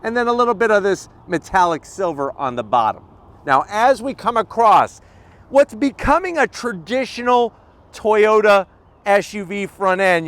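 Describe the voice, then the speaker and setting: A man's voice: high-pitched, fairly bright tone, no echo. A man hosting a walkaround car review outdoors, talking to camera in a punchy, colloquial way with rhetorical questions and catchphrases. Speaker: car review video host.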